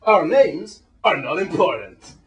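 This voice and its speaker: A man playing a mysterious, sinister agent with portentous pauses in a comic radio play. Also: sits high, very animated, dull audio. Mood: sinister, secretive. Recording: echoey room, distant microphone.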